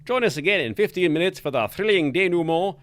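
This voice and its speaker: A man's voice: sits high, animated, fast, a bit bright. A man hosting a spoof 1940s wireless hour, his patter jolly and mock-pompous. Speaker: radio show host. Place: studio.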